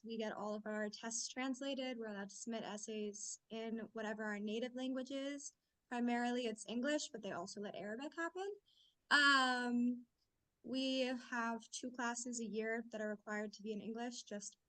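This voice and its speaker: A woman's voice: a little high, pushed and bright, rough recording. A young woman giving casual answers as a student panelist over a video call. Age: young adult.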